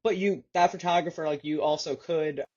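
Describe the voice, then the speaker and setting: A woman with a slight New York accent chats as a bodybuilder guest on a podcast, thoughtful and quick to laugh. Her voice is husky and breathy. The recording sounds dull and lo-fi. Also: low voice, steady tone, darkish tone. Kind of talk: casual chat.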